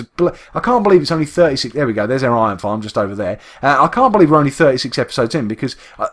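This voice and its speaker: A man with an East London accent, chatting casually and rambling with lots of restarts while he plays a game. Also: medium pitch. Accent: East London English. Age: adult, works full time. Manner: rambling, chatty, with frequent restarts and self-interruptions. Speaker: Minecraft YouTuber. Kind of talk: casual gameplay commentary.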